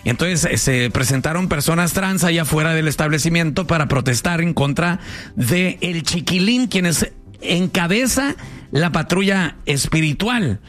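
Mexican guy hosting talk radio, slightly high voice, informal, music faintly behind. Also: expressive, normal speed, fairly bright tone. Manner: informal, inquisitive. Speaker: radio show host.

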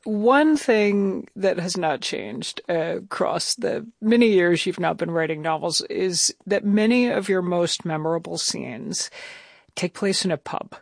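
A woman's voice: fairly low pitch, expressive, unhurried, creaky, dry recording. Woman radio interviewer, dry studio sound. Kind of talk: conversational broadcast interview.

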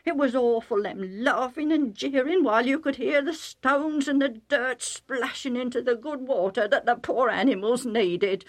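Woman doing a high rough herdsman, pleading. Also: normal speed, hardly any pauses, natural voice. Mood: agitated. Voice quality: rustic working-class grammar. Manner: agitated, insistent, breathless pleading. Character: rough excitable male herdsman, bleeding and pleading.

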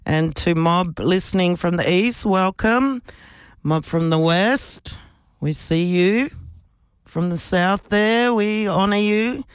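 Slow, clear-voiced Australian male radio host. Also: high-pitched, no room sound. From South Australia. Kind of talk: live radio welcome and acknowledgement of country. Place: radio studio.

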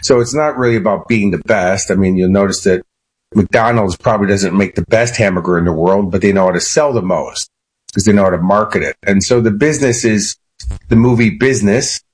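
A man with a Chicago accent, a guest on a radio interview, telling stories in an animated, conversational way full of "you know" and restarts. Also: lowish voice, a little fast, husky, rough recording. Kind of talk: casual interview.

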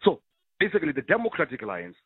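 A man calling in to a talk radio show, his husky voice squeezed through a tinny phone line. He talks animatedly and stumbles over repeated words when he presses a point. The line sounds dry and close. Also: a little high, huge pitch swings, racing, dry recording. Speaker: radio caller. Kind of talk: call-in radio discussion. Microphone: telephone.

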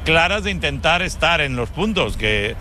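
A male co-host with a bright, tense, slightly high voice, colloquial and playful as he cuts in. Faint outdoor traffic runs in the background. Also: sing-song, moderate pace. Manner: jokey, colloquial interjections. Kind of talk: casual interview chat.

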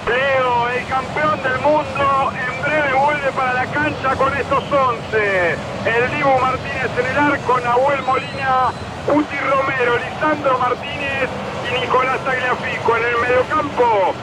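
A man's voice: very high voice, fairly even intonation, unhurried. A man with an Argentine accent working as a live radio field reporter at a football match. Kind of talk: live team announcements and fan interviews. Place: in the stands of a football stadium. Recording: loud noise of stadium and engines.

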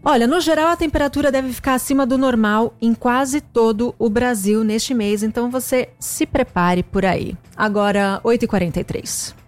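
A woman's voice: a little high, normal speed, hardly any pauses. A woman anchoring a live morning news show, reading the weather in an informal, chatty way with casual asides.

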